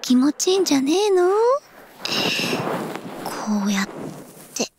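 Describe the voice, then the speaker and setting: An actress playing a brash, teasing delinquent high school girl in an ASMR voice drama, with husky, breathy, rough tomboyish speech. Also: sing-song, unhurried, background noise, exaggerated voice. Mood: soft, attentive. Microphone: close-up ASMR microphone.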